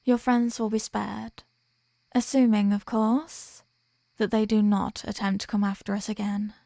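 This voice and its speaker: Woman acting a slow, dark-toned, seductive female vampire. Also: mid-range voice, little pitch movement, gravelly, no echo, studio quality. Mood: smug, coaxing. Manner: slow, teasing, condescending, softly threatening. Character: sadistic, seductive female vampire, dominant and menacing. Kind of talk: ASMR roleplay, scripted dramatic monologue.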